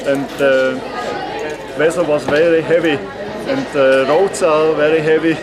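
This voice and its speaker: Slow, halting Austrian male racer who speaks German natively, recorded over a crowd. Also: fairly high pitch, steady tone. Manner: simple halting phrasing. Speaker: race participant. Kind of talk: street interview. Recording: loud crowd behind.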